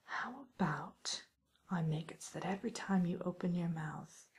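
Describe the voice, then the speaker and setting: A woman playing a wry, teasing witch who scolds an intruder in an ASMR roleplay, speaking softly and often in a whisper. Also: lowish voice, heavily acted. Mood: teasing menace. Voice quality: soft, breathy, often whispered. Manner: teasing, musing, mock-stern, theatrical.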